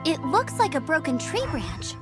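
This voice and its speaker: A perky, high-voiced young knight scout. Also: female voice, wildly swooping pitch, moderate pace, over music. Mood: thoughtful. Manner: perky, determined, self-assured. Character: eager, confident young female knight scout. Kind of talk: scripted video game voice acting.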